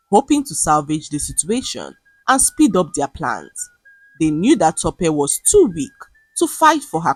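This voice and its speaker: A woman narrating a scripted dramatic folktale as a storyteller, with a slightly husky voice. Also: sits low, dry recording, rough recording.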